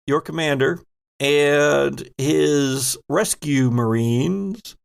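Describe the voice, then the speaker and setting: Gravelly male game master, unhurried. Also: mid-range voice. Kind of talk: narrating a tabletop horror game.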